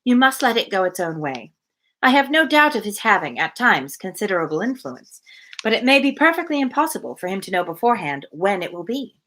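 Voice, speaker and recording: A gentle, anxious married lady from a classic novel, read aloud by a woman. The voice is bright, at a middle pitch. She reasons cautiously in careful, formal storybook sentences. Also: very animated, brisk. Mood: gently advising.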